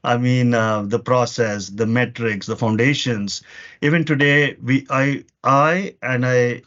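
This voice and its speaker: A man's voice: medium pitch, fairly even intonation, measured pace, fairly bright tone. A man in his forties, a podcast guest, talking earnestly about his career in long runs full of fillers. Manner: earnest, talks in long lists with many fillers. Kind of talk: conversational interview answers. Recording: muffled lo-fi audio.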